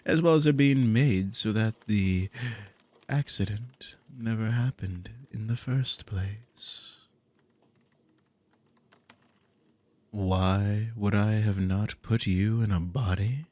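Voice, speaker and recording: A man's voice: fairly low pitch, slow pace, a little mellow. A man playing a dominant, possessive demon in an ASMR audio roleplay, speaking in a commanding, condescending, theatrical drawl with smug, silky menace. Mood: musing, possessive. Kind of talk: ASMR audio roleplay, intimate scripted monologue.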